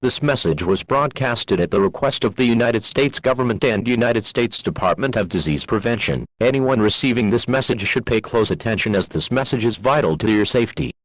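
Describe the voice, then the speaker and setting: A man reading a scripted emergency broadcast in a formal, measured, official manner. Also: medium pitch, animated, low-quality recording. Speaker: emergency alert announcer.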